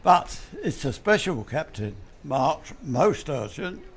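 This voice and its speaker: Man acting a stroppy porter, cheeky, working-class, rough-edged highish voice, radio sitcom. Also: very animated, takes it slowly, full character voice. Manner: cheeky, bolshie.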